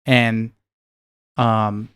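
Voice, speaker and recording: A man's voice: lowish voice, little pitch movement, drawn-out words, a bit bright, clear, resonant tone. A man in his thirties with an American accent, co-hosting a podcast in a casual chat, often searching for words as he reflects. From United States, near Seattle. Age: 30s. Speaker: podcast co-host.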